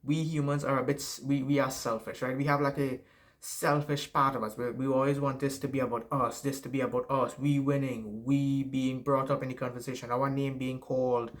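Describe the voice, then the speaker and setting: A man's voice: mid-range voice, flat delivery, fairly quick. A young man with a Trinidadian accent giving casual, rambling advice to camera, swearing and tagging sentences with "right?". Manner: rambling, profane, frequent "right?" tags. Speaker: self-help vlogger.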